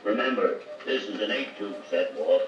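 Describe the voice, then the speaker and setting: Folksy low-voiced American mechanic played by an actor. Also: male voice, moderate pace, background noise, natural voice. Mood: folksy, confident. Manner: folksy, plain-spoken, ungrammatical working-man talk. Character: folksy, confident veteran male car mechanic. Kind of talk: 1950s training filmstrip dramatized dialogue. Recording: muffled, echoey 1950 record, distant, music behind.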